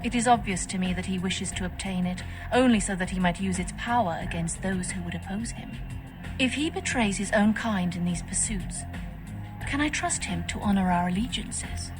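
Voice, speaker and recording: A woman's voice: medium pitch, natural voice. An actress playing a composed, scheming female vampire faction leader in a video game, speaking formally. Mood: calm, calculating. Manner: formal, measured, stately. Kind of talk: video game dialogue acting. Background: music.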